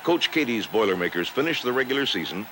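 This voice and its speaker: A man's voice: medium pitch, big pitch swings, fast talker, slight background noise, low-quality recording. A man narrating a university newsreel from a script, in the manner of a year-in-review report. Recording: music bed under the voice. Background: loud background music.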